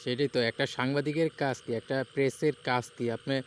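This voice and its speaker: A man's voice: mid-range voice, steady tone, a little fast, lightly acted. A man with a Bangladeshi accent posing as a reproachful, probing police official on a prank phone call, starting hesitantly. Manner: questioning, admonishing, hesitant starts. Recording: phone call with faint noise.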